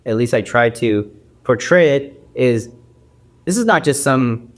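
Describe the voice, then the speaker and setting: A man's voice: mid-range voice, lively intonation, unhurried. A man guest on a podcast who talks in a loose, rambling way, with many fillers and restarts. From United States. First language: Mandarin. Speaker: actor guest. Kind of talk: casual interview talk.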